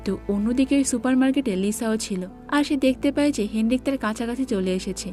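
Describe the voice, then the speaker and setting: A woman's voice: a little high, fairly quick, dark tone, faint music behind. A woman narrating a scripted movie recap in a plain, explanatory storytelling manner.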